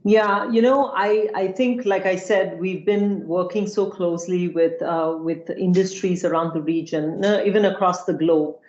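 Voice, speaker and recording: A woman's voice: fairly low pitch, steady tone, moderate pace, few pauses, darkish tone. Woman from Singapore in echoey room. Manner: thoughtful, many fillers and restarts. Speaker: panel guest. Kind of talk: panel discussion. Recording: echoey, slightly dull sound.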